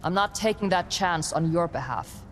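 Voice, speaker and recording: An actress playing a tough, guarded young warrior in a video game, blunt and impatient, with a dry, no-nonsense delivery. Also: female voice, on the low side, expressive, moderate pace, slight background noise, lightly acted. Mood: dry, wary. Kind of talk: cinematic video game acting. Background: background music.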